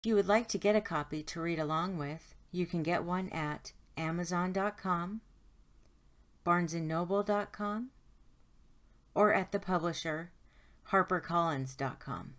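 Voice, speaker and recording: A woman reading a children's story aloud in a deliberate, expressive way. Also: fairly low pitch. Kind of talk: read-aloud story narration.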